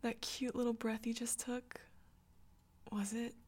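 A woman voicing an intense, tender young girlfriend in a whispered audio roleplay. She speaks in a soft, close whisper and stammers when she gets flustered. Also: fairly high pitch, little pitch movement, a little slow. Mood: teasing whisper.

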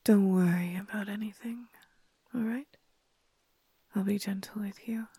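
A woman's voice: medium pitch, steady tone, measured pace, no echo. Woman whispering as a doting winged creature. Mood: soothing. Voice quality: breathy, husky. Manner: soft, soothing, coaxing whisper. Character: doting winged, tailed motherly female creature. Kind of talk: whispered ASMR roleplay.

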